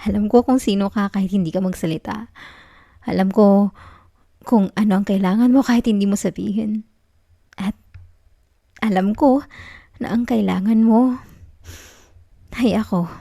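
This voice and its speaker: A woman with a Filipino accent acting a possessive, clingy young student girlfriend in an intimate ASMR roleplay, speaking softly and pleadingly. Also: medium pitch, gravelly, no echo, clean studio sound. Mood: controlling, tender.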